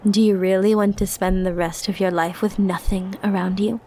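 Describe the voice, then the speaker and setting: A woman playing a powerful, flirty and possessive sorceress in an ASMR roleplay, speaking softly and intimately with theatrical, teasing, condescending turns. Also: medium pitch, steady tone, normal speed, slight background noise, lightly acted. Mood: smug, teasing. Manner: soft, intimate, teasing, theatrical delivery with breathy murmured asides.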